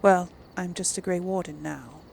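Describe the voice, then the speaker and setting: A woman's voice: on the low side, moderate pace, creaky, darkish tone, a little hiss or hum. A woman casually commenting on and role-playing through a video game, musing in a hesitant way. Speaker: gaming video host.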